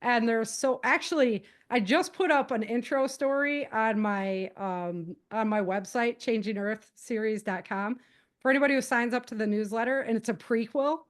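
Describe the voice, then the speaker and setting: A woman's voice: a little high, moderate pace. A woman speaking as a podcast guest, giving practical advice in a casual, conversational way and explaining things with animation.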